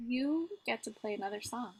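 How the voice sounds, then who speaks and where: A woman with a fairly high voice hosts a livestream from home. She is theatrical and tongue-in-cheek, moving between loose, chatty banter and mock-formal announcements to her viewers. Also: animated, brisk, a little mellow, husky, low-quality recording. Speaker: livestream co-host and musician.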